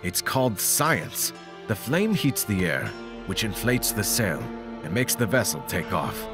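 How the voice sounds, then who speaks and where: An eccentric scientist from a fantasy video game, acted by a man, eager to explain his inventions. His lowish voice swings wildly and theatrically, with music playing behind him. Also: very animated, unhurried. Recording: loud music under the dialogue.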